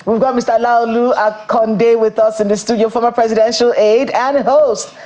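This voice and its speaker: A morning show host in a TV studio, leading the interview with conversational, lively questions. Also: medium pitch, normal speed.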